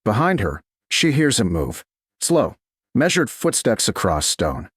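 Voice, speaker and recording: Low-voiced man narrating with dramatic swings. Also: sing-song, a little slow, husky, no echo, studio quality. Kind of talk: dramatic scripted romance audiobook narration.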